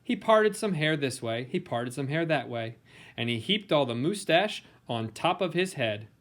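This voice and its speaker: Male storybook reader, slightly high voice, playful and theatrical delivery for young listeners. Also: very animated, fairly bright tone. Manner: theatrical, playful, dramatic emphasis and pauses. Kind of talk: expressive read-aloud of a children's picture book.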